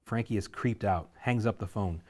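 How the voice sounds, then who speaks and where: A man narrates the action lines at a script table reading. His voice sits low, and he reads with steady, vivid pacing. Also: moderate pace, noisy background. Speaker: narrator reading stage directions.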